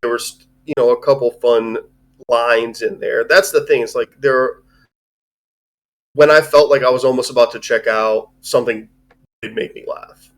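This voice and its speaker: A man co-hosting a casual live movie discussion, talking in a halting, rambling way with plenty of swearing. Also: medium pitch, steady tone. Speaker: co-host reviewing a film. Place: live online stream.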